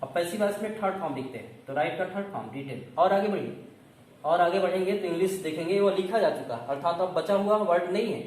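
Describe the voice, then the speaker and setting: High-pitched man teaching, very echoey room. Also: expressive, fast, darkish tone. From India. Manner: instructive, asks questions and answers them himself. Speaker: English grammar coaching teacher. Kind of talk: classroom lesson, explaining step by step. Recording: echoey room, distant mic, faint noise.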